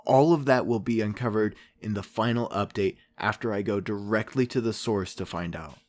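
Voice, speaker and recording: A man playing an earnest, weary podcast investigator, narrating a true-crime case in a reflective, deliberate way with dramatic pauses. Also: lowish voice, lightly acted. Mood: probing.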